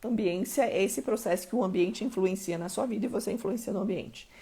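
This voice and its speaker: A woman's voice: mid-range voice, fairly quick, dark tone, breathy edge. A woman from Mato Grosso do Sul with a Curitiba accent, coaching listeners on a live stream and often checking in with them. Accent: Curitiba Portuguese. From Mato Grosso do Sul, Brazil. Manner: emphatic, keeps checking in with listeners. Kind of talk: motivational live-stream teaching.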